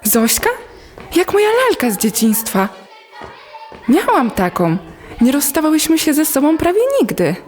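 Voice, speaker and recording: A brash, confident teenage schoolgirl in an audio drama. Her voice is fairly high and very animated. It rings in a reverberant space with music behind. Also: a little slow, pauses often. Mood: friendly, upbeat. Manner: brash, self-assured. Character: Lena, bold confident outgoing teenage schoolgirl.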